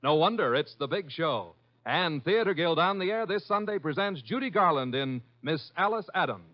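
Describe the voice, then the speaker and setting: Lively high-voiced male radio announcer. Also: moderate pace. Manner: polished, formal, promotional. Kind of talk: 1950s scripted radio announcing. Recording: muffled vintage radio broadcast recording. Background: music.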